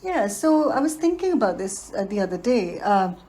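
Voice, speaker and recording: A woman's voice: mid-range voice, sing-song, normal speed. Woman from india, 40s, chatty and reflective, laughs a lot, lots of ums. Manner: warm, reflective, laughs often, many fillers. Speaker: guest, environmental design professional. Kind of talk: conversational podcast interview.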